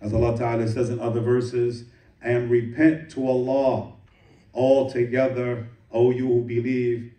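A man's voice: medium pitch, level tone, dark tone. Man preaching in an echoey hall. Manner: recites Arabic verses quickly then translates into English. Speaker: imam giving Friday sermon. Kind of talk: sermon. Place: mosque prayer hall. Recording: echoey room, voice from a distance.

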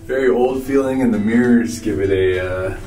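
A man's voice: lowish voice, moderate pace, dark tone, soft background music. A man in his thirties talking casually to a camera he holds at arm's length, chatty and upbeat as he walks around outdoors. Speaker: travel vlogger on camera. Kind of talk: casual on-the-spot vlog talk. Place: outdoors on cold mining site and hotel interior. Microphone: camera held at arm's length.

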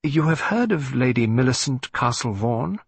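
A man's voice: mid-range voice, expressive, normal speed, slightly rough, no room sound. A man voicing a frightened young upper-class lady, hesitant and pleading. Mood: distressed. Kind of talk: audiobook reading.

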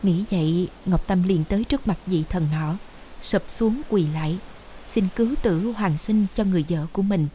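A woman's voice: on the low side, moderate pace, dark tone, a little hiss or hum, poor audio. A woman reading scripted fairy-tale narration as a storyteller. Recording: music behind the voice. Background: music.

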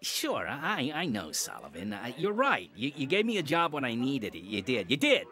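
A hesitant, stammering bartender. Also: male voice, mid-range voice, huge pitch swings, normal speed. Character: bartender, old acquaintance.

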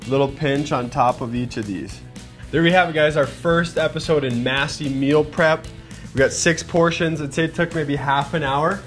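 Upbeat man hosting a cooking show. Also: medium pitch. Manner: energetic, chatty, addresses viewers as guys. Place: home-style studio kitchen, indoors. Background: background music, sizzling pan.

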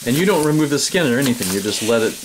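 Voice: mid-range voice, lively intonation, racing, background noise. An off-camera helper in a home kitchen, teasing and coaxing the cook in casual banter.

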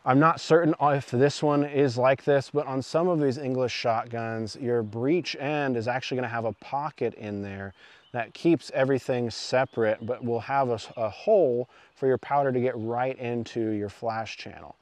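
A man's voice: mid-range voice, steady tone, normal speed, no echo. A man in his thirties giving an informal, self-effacing how-to demonstration outdoors, speaking into a clip-on mic. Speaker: muzzleloading channel host. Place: outdoors in woods. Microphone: clip-on lapel mic.

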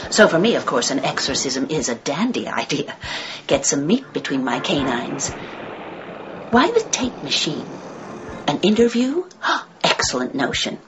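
A woman's voice: low-pitched, sing-song, measured pace. A woman playing a sardonic, menacing demon inside a possessed girl in a radio horror drama, taunting with theatrical, clever wordplay. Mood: sardonic, mocking. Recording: dull, noisy radio-play recording with clicks.

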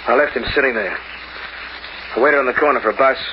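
An actor playing a cynical, streetwise locksmith who narrates in hardboiled slang, in an old-time radio noir style. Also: male voice, medium pitch, fast talker, close to an everyday voice. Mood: wry narrating. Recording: noisy, muffled old radio recording.

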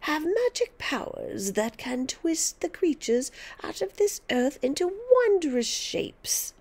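A woman's voice: high voice, sing-song, slow pace, a little mellow, voice pushed up, full character voice. A woman voicing a sinister old grandmother witch who talks in a throaty, menacing whisper.

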